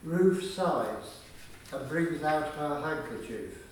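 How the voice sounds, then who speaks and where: A man reads radio play narration at a slow, measured pace. His voice is slightly high for a man. It is recorded in a very echoey space with faint background noise. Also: expressive. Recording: very echoey, distant, faintly noisy room.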